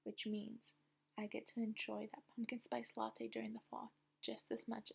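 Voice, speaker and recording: A young woman from the United States reading a personal essay aloud in a soft, often half-whispered way. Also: fairly high pitch, completely flat delivery, normal speed, creaky, slightly rough.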